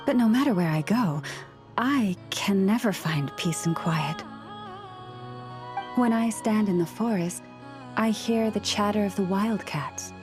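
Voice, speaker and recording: A woman playing a gentle, composed young woman in a video game, with measured, articulate and gently wry delivery. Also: medium pitch, lightly acted. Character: gentle, composed young woman who understands animal speech.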